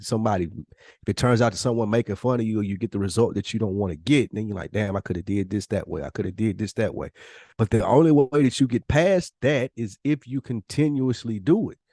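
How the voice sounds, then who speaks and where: A man's voice: mid-range voice, fairly quick, mellow, dry recording, studio quality. A man in casual podcast conversation, speaking bluntly with slang, swearing and emphatic repetition. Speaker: podcast co-host.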